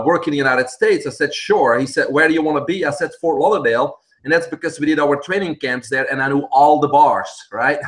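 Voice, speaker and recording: A man's voice: mid-range voice, a bit bright. A middle-aged man from Belgium with a Belgian accent, answering interview questions and explaining things plainly, step by step. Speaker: guest, stem cell clinic head.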